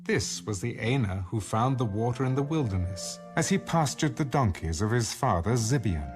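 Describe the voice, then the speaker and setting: A man narrating an audio Bible, reading scripture in a measured, solemn way with careful enunciation. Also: medium pitch, lively intonation, over music.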